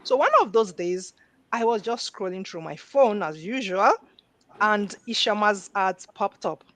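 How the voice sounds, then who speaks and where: A woman's voice: medium pitch, unhurried, no echo. Earnest middle-aged woman telling her story. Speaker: guest. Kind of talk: personal story in interview.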